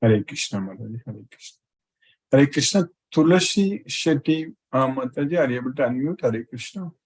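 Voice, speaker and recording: A man with a husky voice at an average pitch, acting as a spiritual teacher. He greets his online students one after another, repeating short phrases as he runs a live question session. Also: expressive, normal speed.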